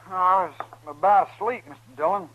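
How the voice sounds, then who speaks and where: A man's voice: high voice, brisk, lightly acted. A young man playing a spunky but deferential young cowhand in an old radio western. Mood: innocent, uneasy. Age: young adult. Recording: dull, noisy old radio recording.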